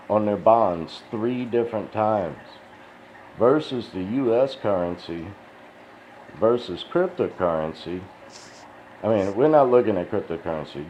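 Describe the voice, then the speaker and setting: Man, low voice, folksy and profane, rambling, dull lo-fi recording with faint hiss. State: tired, dry mouth. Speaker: crypto video host. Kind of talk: casual rambling monologue.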